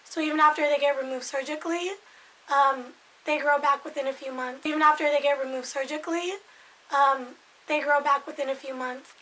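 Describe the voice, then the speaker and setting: A woman in her twenties with a hoarse, raspy voice, chatting casually to camera in a rambling way that loses its thread. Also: high-pitched, steady tone, a little fast, pushed and bright, rough recording. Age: 20s. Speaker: vlogger.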